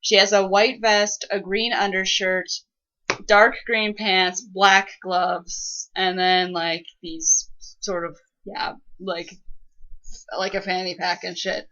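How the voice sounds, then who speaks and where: A woman in casual commentary mode, with a bright, forceful voice on a slightly muffled recording. She is blunt, swears freely and corrects details like a fan who knows them well. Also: mid-range voice, little pitch movement, slow. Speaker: co-commentator on a fan fiction reading. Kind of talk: casual chat, reacting and correcting.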